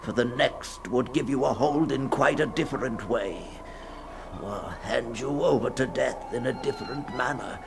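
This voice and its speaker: An actor playing a terrified, desperate climber in a theatrical, halting radio monologue from the 1960s. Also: male voice, mid-range voice, creaky, close to an everyday voice. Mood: haunted dread. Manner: theatrical, halting, gasping, lurching between whispers and cries. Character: terrified, desperate male climber narrating his nightmare escape. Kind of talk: 1960s radio dramatic monologue of a fantasy tale. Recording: noisy 1960s radio recording.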